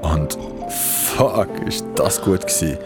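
A man's voice: low voice, slow. An actor playing a shaken young man with Swiss German speech, confiding his mountain ordeal in slangy radio play narration. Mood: confiding, uneasy. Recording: loud music behind the voice. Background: music.

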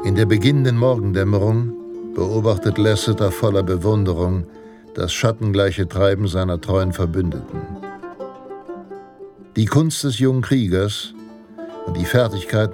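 Deep solemn western narrator, epic radio play vibe. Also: male voice, a little slow, music in the background. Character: grave male narrator of a Western daydream.

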